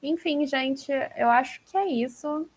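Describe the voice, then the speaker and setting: A woman with a Brazilian accent and a high voice hosts a podcast. She chats casually and informally, with animated, slangy phrasing. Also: unhurried. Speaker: podcast host. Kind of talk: casual chat about books.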